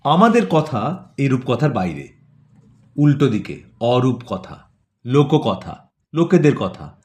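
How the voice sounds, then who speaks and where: A man reading a scripted satirical story, phrasing it rhetorically with repetitions and lists of words set apart. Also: medium pitch. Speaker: narrator of an audio play.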